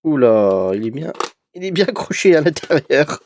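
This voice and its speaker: A man's voice: a little high, sing-song, normal speed, darkish tone, slight background noise. A young man with a cold, chatting hesitantly with many fillers while opening an advent calendar for his collection vlog. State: has a cold. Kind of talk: casual unboxing vlog.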